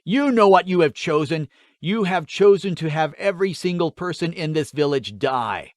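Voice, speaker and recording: A man voicing an imposing armored warlord villain in a fantasy audiobook, loud, booming and menacing. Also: expressive, normal speed, no echo, studio quality, close to an everyday voice. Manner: menacing, declamatory.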